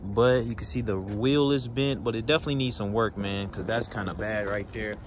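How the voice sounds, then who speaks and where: A man's voice: fairly low pitch, fairly quick. Man, car vlogger, joking and chatty, phone audio with lot noise outdoors. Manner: teasing, chatty, addresses viewers as y'all. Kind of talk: casual walkaround commentary. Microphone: handheld phone camera.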